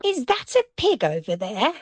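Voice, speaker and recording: A woman playing a stern, fed-up landlady in an audio drama, with a brisk, scolding manner. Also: a little high, wildly swooping pitch, breathy edge, dry recording, exaggerated voice. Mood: appalled, scolding.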